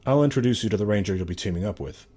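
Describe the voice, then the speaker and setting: A man's voice: lowish voice, lively intonation, rapid-fire, mellow, breathy edge. A man playing a stern, hard-to-impress lawman in a space video game, speaking in a terse, matter-of-fact way. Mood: stern, businesslike. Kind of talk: video game character dialogue.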